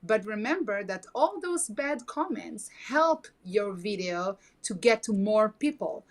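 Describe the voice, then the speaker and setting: A woman whose first language is Hebrew, speaking earnestly on a casual video chat in halting non-native English. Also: medium pitch, animated. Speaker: guest.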